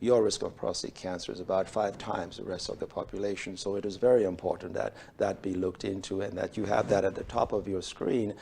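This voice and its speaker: A middle-aged man from Switzerland, a urologist guest on a TV health show, speaking in a measured, formal way with frequent fillers. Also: sits low, steady tone, vocal fry, mellow, slight background noise. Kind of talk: TV health interview. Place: bright TV studio set.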